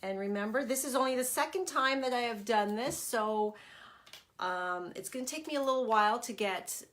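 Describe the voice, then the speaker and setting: A woman's voice: fairly high pitch, normal speed, fairly bright tone. A middle-aged woman, nasal and congested from a cold, chatting casually with many ums during a home craft live stream, recorded on a tablet camera. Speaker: stamping craft demonstrator. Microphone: phone or tablet camera.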